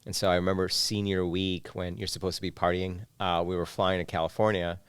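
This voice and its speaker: A man in his thirties from Bangladesh, a startup founder telling his story as a podcast guest in a relaxed way. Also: low voice, brisk, clean tone, no room sound. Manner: relaxed storytelling, chuckles at own stories. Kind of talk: podcast interview. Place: studio couch.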